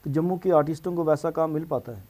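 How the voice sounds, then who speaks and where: Male interviewer. Somewhat raised pitch, conversational questioning, quick to chime in. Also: mellow. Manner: interjects agreement, finishes guest's sentences.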